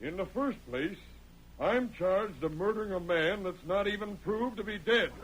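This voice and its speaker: An actor playing a wronged, defiant frontiersman on trial in an old-time radio western, indignant and forceful. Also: high voice, animated, moderate pace. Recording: slightly noisy old radio recording.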